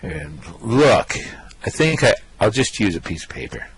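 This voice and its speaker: A man playing a brash, vain, excitable space rogue in a radio play, with cocky, slangy bluster. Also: lowish voice, measured pace, slightly rough, full character voice, rough recording. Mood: baffled, irritated. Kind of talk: amateur radio play acting.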